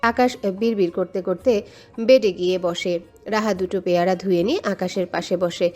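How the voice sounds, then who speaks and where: A woman's voice: on the low side, fairly even intonation, moderate pace, a little mellow. A woman reading a scripted romantic story as a dramatic narrator, with music behind her. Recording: music under the voice. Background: background music.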